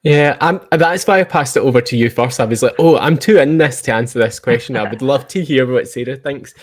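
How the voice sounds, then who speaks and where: Mid-pitched man hosting a chat. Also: a little fast. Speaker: host, interviewer. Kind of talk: casual interview chat.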